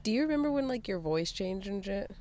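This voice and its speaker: A woman co-hosting a podcast, chatting casually with quick reactive banter. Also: medium pitch, lively intonation, warm tone, slight background noise. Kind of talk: casual chat.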